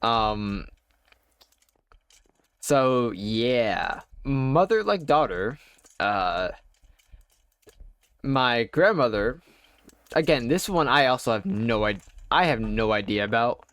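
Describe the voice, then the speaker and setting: A young adult man telling a personal story while playing a game, halting and wry, full of fillers and restarts. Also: mid-range voice, lively intonation, fairly bright tone, dry recording. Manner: wry, self-deprecating. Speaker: gaming YouTuber. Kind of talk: casual storytelling over gameplay.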